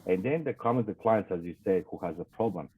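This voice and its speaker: Deep husky man with a Croatian accent. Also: expressive, phone-quality sound. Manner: halting, many fillers, non-native phrasing. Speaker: guest, investigations business owner. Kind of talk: casual interview talk.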